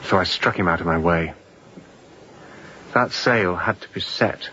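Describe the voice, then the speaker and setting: An actor playing an exhausted, intense, unrepentant fugitive chief mate in a radio drama, speaking in clipped, grim sentences. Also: male voice, deep voice, measured pace, sharp tone, highs cut off. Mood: bitter, intense. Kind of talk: radio drama acting, confessional storytelling.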